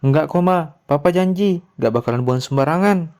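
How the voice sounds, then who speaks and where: Emotional, jealous husband acted by a man, raised pitch. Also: normal speed, a little mellow, natural voice. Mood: pleading. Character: jealous husband and father, pleading then enraged.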